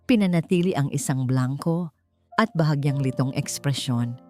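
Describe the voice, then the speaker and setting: Woman, low pitch, scripted dramatic story narration, background music. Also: moderate pace, a little mellow, no room sound. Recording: music behind the voice.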